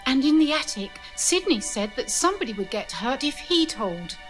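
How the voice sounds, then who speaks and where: A woman's voice: sits high, sing-song, moderate pace, fairly bright tone, faint music behind. A woman playing an earnest, repentant young newcomer in an audio drama about a manor house. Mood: urgent.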